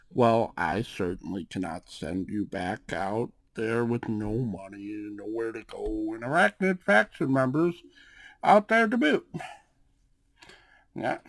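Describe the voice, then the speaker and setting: A man playing a suave, calm and protective cat-folk gang boss in an ASMR roleplay, speaking in a measured, drawn-out way with questioning tags. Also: mid-range voice, dry recording. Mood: reassuring, inviting. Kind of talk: ASMR roleplay, acted monologue to one listener.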